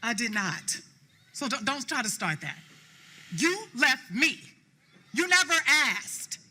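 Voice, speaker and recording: A woman's voice: high-pitched, sing-song, slow pace, sharp tone, full character voice. An actress playing a hurt, accusing woman in a screen drama argument. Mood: angry, hurt.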